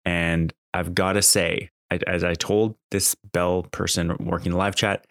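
A man's voice: low-pitched, little pitch movement, measured pace, fairly bright tone, no room sound, studio quality. A man talking casually off the cuff as a podcast host, in a conversational, exasperated, complaining way. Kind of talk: casual unscripted monologue.

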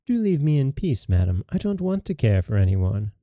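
A man's voice: medium pitch, big pitch swings, moderate pace, mellow, no room sound, lo-fi sound, close to an everyday voice. Bellissima, a haughty spoiled princess, read by a man. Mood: dismissive. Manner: haughty, dismissive. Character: proud spoiled young princess.

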